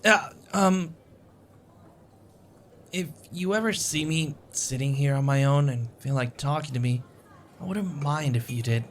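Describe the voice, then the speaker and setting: A man's voice: fairly high pitch, measured pace, long pauses, lightly acted. A man playing a shy, anxious, sweet young male stranger in a romantic audio roleplay, hesitant, stammering and full of self-doubt. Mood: shy, warm.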